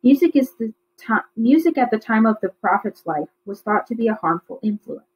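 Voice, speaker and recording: A woman's voice: a little high, big pitch swings, normal speed, darkish tone, a little hiss or hum. A woman voicing a tired, mild, conciliatory father in a storybook. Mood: apologetic. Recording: slightly dull, lo-fi recording.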